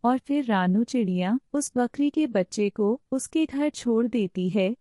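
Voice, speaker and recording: A woman's voice: on the high side, unhurried, noisy background. A woman narrating a scripted children's story.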